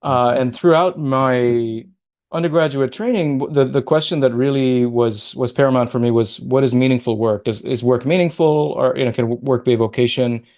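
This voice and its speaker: A man's voice: mid-range voice, fairly even intonation, normal speed, darkish tone. A man raised in the Middle East, speaking as a guest in a video-call interview, thoughtful and prone to restarting sentences. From Middle East (born in Qatar, raised in Oman). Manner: thoughtful, restarts and rambles while recalling. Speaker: guest, sociology professor.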